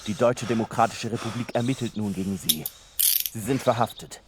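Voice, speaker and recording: Man acting a curt soldier amid loud noise. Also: lowish voice, fairly even intonation, brisk, natural voice. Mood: stern, official. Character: gruff, hostile East German male soldier. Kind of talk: cinematic audio roleplay acting. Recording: loud background noise and clicks.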